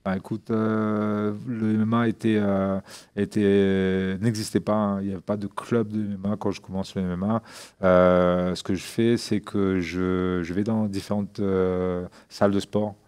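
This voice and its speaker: Deep flat-voiced man. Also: clean tone. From Réunion. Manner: halting, many fillers and restarts. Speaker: MMA coach and former champion. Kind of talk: interview.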